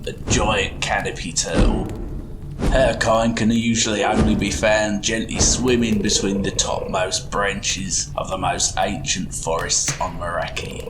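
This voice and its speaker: A man's voice: lowish voice, fairly even intonation, measured pace, lightly acted, low-quality recording. A man voicing a chatty, folksy goblin tinkerer who guides the listener through a playful fantasy bedtime story. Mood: fond, wry. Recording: music behind the voice. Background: music.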